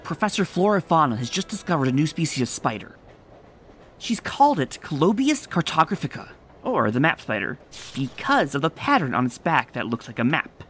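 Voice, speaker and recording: A woman voicing a bold, confident female adventurer pony in a comic dub, talking briskly and commandingly. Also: sits low, big pitch swings, a little hiss or hum. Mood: explaining.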